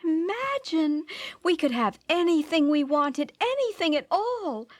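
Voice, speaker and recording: A woman doing a shrill scolding fisherman's wife. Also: sing-song, unhurried, dry recording, clean studio sound, exaggerated voice. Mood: dreamy. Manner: high, wailing, exclaiming "oh".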